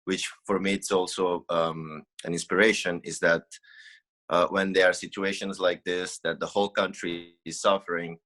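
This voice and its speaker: Brief: very low, forceful male guest from Mozambique, earnest and halting. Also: level tone. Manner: earnest, searching for words. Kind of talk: casual interview talk.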